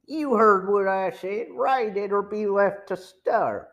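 Man voicing a sour, menacing smuggler boss, pitched up. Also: animated, a little slow, muffled recording. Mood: angry, demanding. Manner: threatening, pressing, sneering. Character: sour, menacing male smuggler gang chief. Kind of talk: audiobook villain dialogue.

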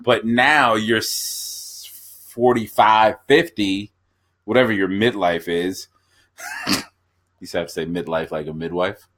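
A man's voice: fairly low pitch, slow pace, pauses often. A man in his thirties co-hosting a podcast, joking and acting out little scenes in casual chat. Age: 30s. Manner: joking, animated, acts out bits. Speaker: podcast co-host.

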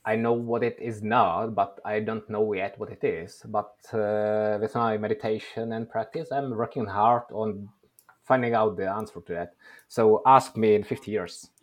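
Male interview guest, native Czech with Czech accent. Lowish pitch, thoughtful, measured conversational delivery. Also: fairly even intonation. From Czech Republic. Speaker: interview guest, workshop presenter.

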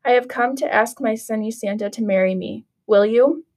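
A woman reading aloud as a sincere, gentle young man searching for his love. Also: fairly high pitch, normal speed, close to an everyday voice. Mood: earnest. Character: earnest young man, a devoted suitor.

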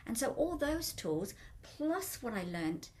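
Upbeat, chatty woman radio host and life coach, still a bit croaky. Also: fairly high pitch, big pitch swings, takes it slowly. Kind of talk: radio talk show monologue.

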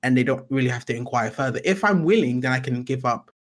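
Male podcast host, mid-pitched. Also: expressive, fast. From Britain. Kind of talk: conversational interview.

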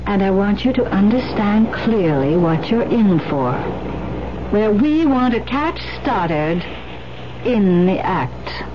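A woman detective, urgent and persuasive yet holding something back. Her voice sits at a middle pitch, acted in vintage radio drama style. The old recording is muffled and clearly noisy. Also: lively intonation, slow pace. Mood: explaining, firm.

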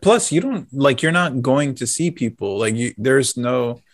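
Animated man in casual, jokey chat. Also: medium pitch, unhurried, dry recording, studio quality. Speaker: podcast co-host.

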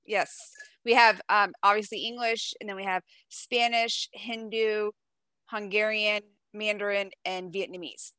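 Bright-voiced woman podcast host. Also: mid-range voice, fairly even intonation, unhurried, clean tone, no echo, rough recording. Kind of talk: podcast interview.

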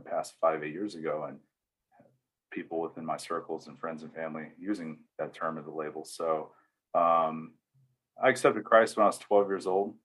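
A man, speaking plainly as an interview guest, with frequent pauses and fillers. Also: sits low, fairly bright tone. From East Tennessee, US. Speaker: interview guest, church security veteran. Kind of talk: casual storytelling interview answers.

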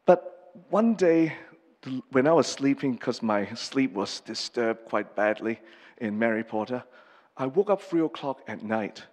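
A man from Hong Kong in midlife preaches from the pulpit, speaking earnestly and haltingly, often pausing and starting over. His voice sits at an ordinary male pitch. It rings out with a long tail of reverb in a large church hall. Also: lively intonation, darkish tone. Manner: earnest and halting, with many pauses and restarts. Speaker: pastor. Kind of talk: personal testimony sermon.

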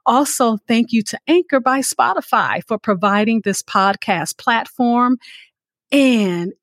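Gracious, higher-voiced woman hosting a show. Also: lively intonation, measured pace, no room sound, clean studio sound. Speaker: podcast host. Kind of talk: warm interview hosting with sign-offs.

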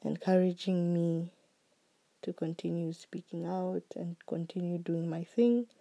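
A woman hosting her own podcast, talking slowly and earnestly about what she is grateful for, her voice still recovering from being sick. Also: on the low side, steady tone, vocal fry. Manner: slow, heartfelt and earnest, with soft trailing phrases. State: recovering voice after illness. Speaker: podcast host. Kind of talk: reflective solo podcast monologue.

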